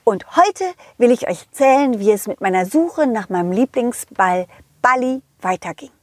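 A woman's voice: a little high, dry recording. A woman voicing a friendly, eager male dog who narrates a children's audio story expressively, speaking directly to the kids. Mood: cheerful, storytelling. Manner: expressive, addresses children directly, halting delivery. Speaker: host and narrator.

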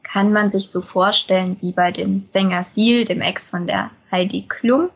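A woman's voice: mid-range voice, a little slow, creaky, slightly rough, highs cut off. A woman, presenting a podcast in a conversational way. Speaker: podcast host, self-help group member.

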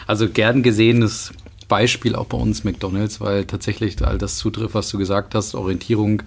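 A man's voice: lowish voice, flat delivery, normal speed, creaky. A man hosting a podcast interview who asks long, rambling, friendly questions. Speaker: podcast host. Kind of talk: conversational interview.

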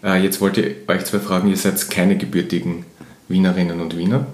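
A man with a Viennese accent hosting a podcast and asking his guests relaxed questions. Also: sits low, a little fast, roomy sound. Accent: Viennese German. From Vienna, Austria. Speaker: podcast host. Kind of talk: interviewing guests.

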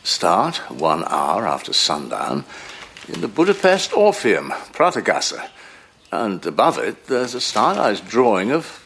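An actor playing a wry, learned older psychoanalyst and father in an audio drama, deliberate and professorial. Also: fairly low pitch, huge pitch swings, vocal fry, a bit bright, a little hiss or hum, full character voice. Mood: amused, curious. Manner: deliberate, professorial, hesitant murmurs.

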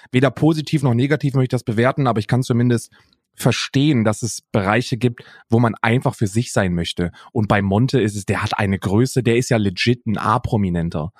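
Slangy, sardonic podcast co-host, dry sound. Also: male voice, mid-range voice, no echo. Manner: chatty storyteller, slangy and sardonic. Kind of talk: casual chat.

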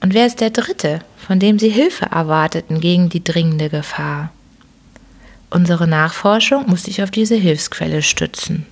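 A woman voicing a calm, incisive detective who reasons in a measured, dryly ironic way in an audiobook. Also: fairly low pitch. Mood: deliberate. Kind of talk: audiobook character reading.

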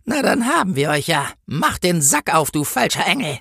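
A man's voice: high-pitched, very animated, moderate pace, vocal fry, a bit bright, husky, dry recording, studio quality, voice pushed up, heavily acted. A man voicing a bullying, officious female traffic warden in a comic Christmas story, with a scolding, sneering manner. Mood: suspicious, triumphant.

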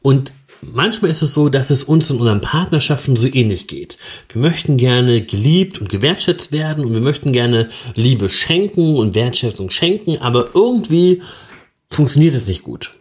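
A middle-aged man hosts his own couples-therapy podcast alone, speaking in a calm, explanatory way. His voice sits in an ordinary middle range, and he pauses with small filler sounds as he thinks. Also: medium pitch. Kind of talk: solo podcast monologue.

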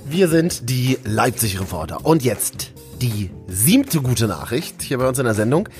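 A man's voice: medium pitch, sing-song, a little slow, faint music behind. A man from Berlin hosting a local news show in a cheerful, casual way, full of jokey asides. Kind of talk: casual upbeat news chat.

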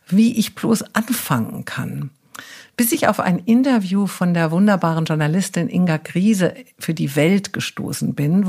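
Female podcast host, lowish husky voice, deliberate phrasing, prepared interview introduction. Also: darkish tone.

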